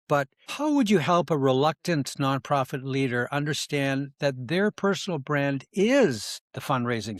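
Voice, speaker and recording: A man's voice: medium pitch, no echo, clean studio sound. A middle-aged man hosting an interview podcast, speaking in an earnest, encouraging way. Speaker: podcast host.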